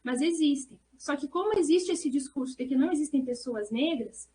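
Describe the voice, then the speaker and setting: High-pitched, mellow Brazilian woman explaining. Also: expressive, moderate pace. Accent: Brazilian Portuguese. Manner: earnest, discursive, often checks with "né". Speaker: academic researcher and panelist. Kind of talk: explanatory answer in an academic panel discussion.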